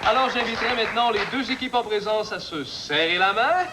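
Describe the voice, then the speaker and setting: A man's voice: sits high, brisk, a crowd in the background, noisy background, natural voice. A man playing a cheerful, polite game show host, with a Quebec French accent and a polished, ceremonious delivery. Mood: cheerful, formal.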